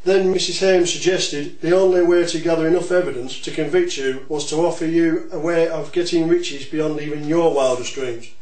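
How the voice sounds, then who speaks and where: A man playing a courteous, dogged detective inspector in an amateur audio drama, speaking in a measured, formal way. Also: a little high, fairly even intonation, darkish tone, roomy sound, lightly acted, low-quality recording. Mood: composed.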